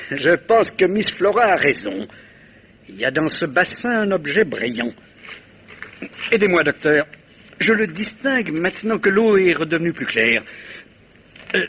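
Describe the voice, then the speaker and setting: Pompous, theatrical detective, highly animated. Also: male voice, slight background noise. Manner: pompous, courteous, theatrical, with pointed pauses. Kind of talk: vintage French radio mystery acting.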